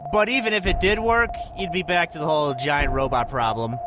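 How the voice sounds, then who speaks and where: A man's voice: a little high, steady tone, a little fast, over music, close to an everyday voice. A man playing a jaded, skeptical soldier on monster watch, dry and dismissive in comic dialogue. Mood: wry.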